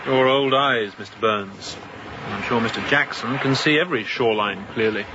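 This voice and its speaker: An actor playing a young ship's captain in a radio drama, speaking in a curt, commanding, sharp-tongued way. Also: male voice, mid-range voice, moderate pace, natural voice. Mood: dry, clipped. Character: stern young newly appointed sea captain. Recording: dull muffled audio, outdoor ambience noise.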